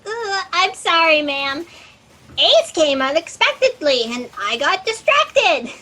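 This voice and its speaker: A woman playing an anxious, excitable and apologetic fact-checker underling in a sci-fi satire audio drama. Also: extremely high pitch, slow, big-room sound, full character voice. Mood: flustered, apologetic. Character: flustered, fast-talking, anxious young female fact-checker underling.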